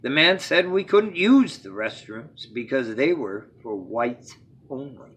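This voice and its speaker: A man reading a children's storybook aloud as a bedtime story, with dramatic phrasing that opens emphatically and falls to a hush at phrase ends. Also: mid-range voice, expressive, measured pace, husky.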